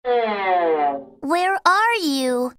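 A woman's voice: a little high, huge pitch swings, very slow, fairly bright tone, heavily acted. A woman voicing a sweet little girl in scripted dialogue for an English-lesson storybook cartoon. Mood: eager, concerned.

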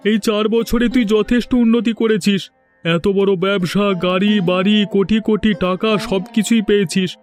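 A man's voice: sits high, fairly even intonation, moderate pace, a little mellow, faint music behind, natural voice. An actor playing an encouraging, loyal male friend in a cartoon, supportive and eager. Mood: reassuring. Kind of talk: cartoon moral story voice acting.

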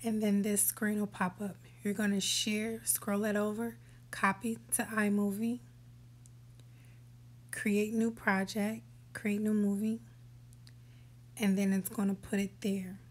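Woman talking slow and flat over a screen recording, calm step by step, kinda whispery at times. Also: mid-range voice. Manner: relaxed step-by-step instructions, sometimes whispery. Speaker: tutorial host.

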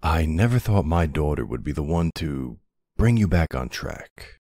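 Man acting a deep gravelly mafia husband. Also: big pitch swings, no room sound, clean studio sound, heavily acted. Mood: earnest, warm. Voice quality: gravelly, husky. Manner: soft, unhurried, hesitant pauses. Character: tender mafia boss husband and doting young father. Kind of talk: intimate ASMR roleplay acting.

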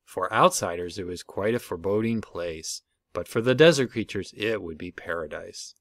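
A man reading a children's picture book aloud as an expressive storyteller. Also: sits low, moderate pace, dry recording, clean studio sound. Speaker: storybook reader.

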